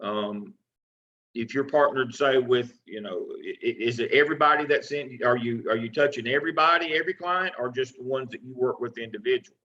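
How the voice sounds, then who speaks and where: A man's voice: mid-range voice, a little fast, sharp tone, lo-fi sound. A man teaching as a professor, giving feedback to a student in an online class in a folksy way, often restarting and repeating words. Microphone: video call.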